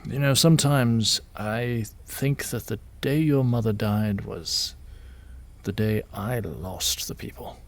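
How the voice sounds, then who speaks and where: Man playing a weary, gravelly king and father. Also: fairly low pitch, slow pace. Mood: wistful, regretful. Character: weary, tender, self-doubting king and widowed father. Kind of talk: audio drama acting.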